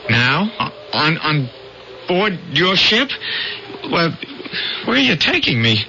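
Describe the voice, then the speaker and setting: A man's voice: a little high, big pitch swings, slow, a little hiss or hum, exaggerated voice. An actor playing an earnest, anxious ordinary man with a hesitant, stammering delivery in an old radio drama. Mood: anxious pleading. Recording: muffled radio broadcast over loud music.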